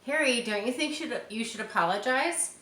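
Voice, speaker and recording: A woman voicing a bossy, eager schoolgirl making loud playground announcements in a children's storybook. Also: a little high, expressive, normal speed, pitched above the performer's own voice. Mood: urging. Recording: roomy echo, distant mic, faint noise.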